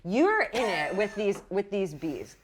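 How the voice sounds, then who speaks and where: A woman improvising narration as a game master in a casual, animated, rambling way. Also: mid-range voice, a little slow. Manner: animated, rambling, acts out characters' lines.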